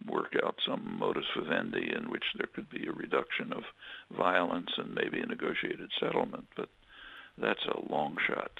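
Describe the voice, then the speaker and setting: A man giving a political analysis in an interview, talking in a halting way with many fillers and restarts. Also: very deep voice, gravelly, sharp tone, husky, muffled recording. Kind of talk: measured spoken analysis, answering questions.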